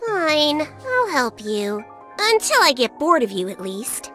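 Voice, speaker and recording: A woman's voice: high-pitched, big pitch swings, takes it slowly, exaggerated voice. A woman voicing a tiny, cheeky fairy companion in a video game, blunt and flippant with breezy, teasing delivery. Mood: grudging, flippant. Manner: breezy, teasing, casual wording with sharp mood swings. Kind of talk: video game cutscene voice acting. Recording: music behind the voice.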